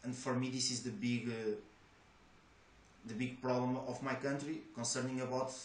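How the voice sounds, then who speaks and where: A man's voice: mid-range voice, flat delivery, normal speed. A young man from Portugal, a native Portuguese speaker with a Portuguese accent, answering job interview questions in English with hesitant restarts. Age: young adult. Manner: hesitant, many restarts and fillers. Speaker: job applicant. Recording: echoey room with faint noise.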